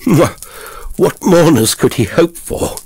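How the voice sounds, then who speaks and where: A man's voice: fairly high pitch, animated, takes it slowly, darkish tone, breathy edge, voice pushed up, full character voice. A man voicing a cruel, gleeful, debauched nobleman in a horror story, with mocking, flamboyant declamation. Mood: scornful laughing.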